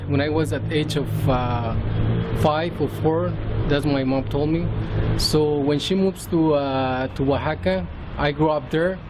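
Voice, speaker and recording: A man's voice: fairly high pitch, unhurried. A man in his thirties with a Mexican Spanish accent gives a personal testimony in English, hesitant, with many fillers and restarts. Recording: outdoor traffic noise behind.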